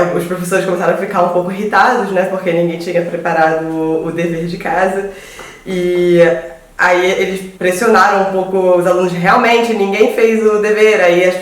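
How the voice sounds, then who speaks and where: Brazilian woman, thirties, halting storyteller, very echoey room, set back from the mic. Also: mid-range voice, far from the mic. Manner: halting, repeats words while searching for phrasing. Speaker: guest. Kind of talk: casual storytelling among friends.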